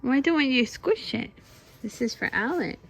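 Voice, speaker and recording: A woman in her thirties reading a storybook aloud in an animated, drawn-out way, breaking off to chat with young children. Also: sits high, gravelly, slight background noise. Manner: animated, drawn-out delivery with exclamations. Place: at home among young children.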